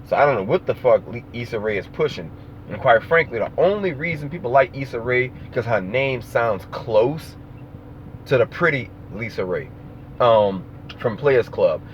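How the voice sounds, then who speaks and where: A man's voice: mid-range voice, lively intonation, normal speed, lo-fi sound, slight background noise. A man with an American accent talking casually as an opinion vlogger, blunt, slangy and profane.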